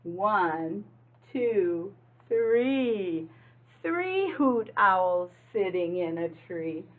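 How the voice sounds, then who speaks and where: A woman's voice: medium pitch, sing-song, extremely slow, narrow, tinny audio. A woman reading a picture book aloud like an early-childhood teacher, talking simply and instructively to young listeners and asking them questions.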